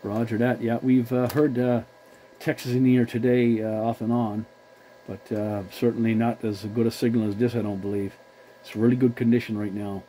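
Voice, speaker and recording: A man chatting as a CB radio operator, courteous and measured, using formal call-sign procedure. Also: mid-range voice, little pitch movement, background noise. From Newfoundland, Canada.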